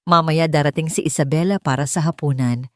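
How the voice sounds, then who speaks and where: Woman reader as elderly, frail father of a wealthy family, gentle and kindly, audiobook drama, dry sound. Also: deep voice, steady tone, fairly quick, dry recording, natural voice. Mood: tender, glad. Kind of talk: audiobook character dialogue.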